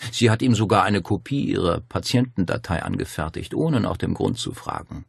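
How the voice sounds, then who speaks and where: A man voicing a calm, fervent cult master who speaks with smug, insinuating certainty in an audiobook. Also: deep voice, moderate pace, vocal fry, husky, dry recording, clean studio sound, exaggerated voice. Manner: insinuating, declamatory, unruffled. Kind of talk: dramatic audiobook dialogue.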